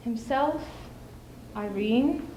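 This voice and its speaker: High-pitched theatrical widow, played by a young woman. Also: animated, dark tone, highs cut off. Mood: earnest, reflective. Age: young adult. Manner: emphatic, theatrical, with deliberate pauses. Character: spirited, scheming widow, feisty and reflective. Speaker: student speech team performer. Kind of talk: theatrical dramatic monologue on stage. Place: indoor school showcase stage. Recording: echoey room, clearly audible background noise.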